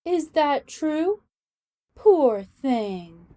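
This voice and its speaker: A woman's voice: sits high, lively intonation, very slow, mellow, exaggerated voice. An actress playing a gracious, sociable woman who manages a care home in a radio drama, polite and effusive. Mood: sympathetic.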